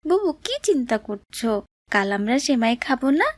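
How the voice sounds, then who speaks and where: A woman voicing an innocent, excitable little boy, a poor younger brother in a cartoon, with a pleading manner. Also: on the high side, moderate pace, no echo, clean studio sound. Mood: eager, pleading. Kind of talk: cartoon drama voice acting.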